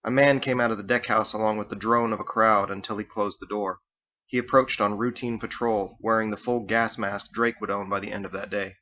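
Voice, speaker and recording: A man's voice: on the low side, sharp tone, lo-fi sound. A man reading his story aloud as dramatic scripted audiobook narration. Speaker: author reading own book.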